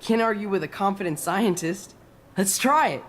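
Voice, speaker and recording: Goofy science nerd kid in a game, voice bouncing around, noise behind. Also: male voice, high-pitched, expressive, moderate pace, a bit bright. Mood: impressed, playful. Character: eager nerdy teenage boy science student, goofy. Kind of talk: playful video game acting.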